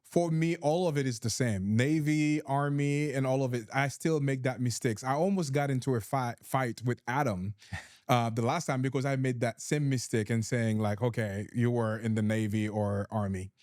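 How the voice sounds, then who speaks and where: A man's voice: mid-range voice, moderate pace, a little mellow, no echo, clean studio sound. A man from Haiti with a Haitian accent, hosting a talk show and asking careful questions. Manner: brief affirming backchannels, careful questions. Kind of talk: friendly interviewing.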